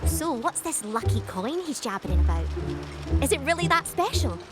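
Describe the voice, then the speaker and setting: Animated, high-voiced townswoman. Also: normal speed. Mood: curious, wry. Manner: expressive, animated. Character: feisty female townsfolk, restaurant keeper. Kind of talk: anime game voice acting. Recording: very loud music behind.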